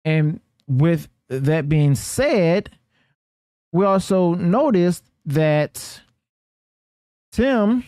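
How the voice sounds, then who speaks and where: A man's voice: on the high side, lively intonation, dark tone, no room sound, clean studio sound. A man hosting news commentary, partly reading from notes, who stumbles and corrects himself and often checks in with the listener. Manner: halting delivery with stumbles and self-corrections. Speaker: host of a news commentary channel.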